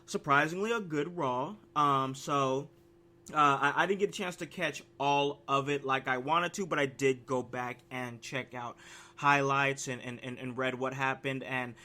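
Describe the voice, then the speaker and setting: A man hosting a casual podcast chat, sounding raspy from a cold, talking with an animated, hyped-up energy and frequent restarts. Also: mid-range voice, unhurried. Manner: animated, hype delivery with many restarts. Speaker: podcast host.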